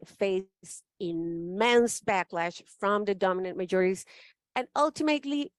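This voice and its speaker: A woman's voice: mid-range voice, smooth, no room sound. Woman speaking at a forum. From Chile. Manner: thoughtful, frequent "right?" tags, emphatic. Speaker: panelist. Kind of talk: spoken forum remarks.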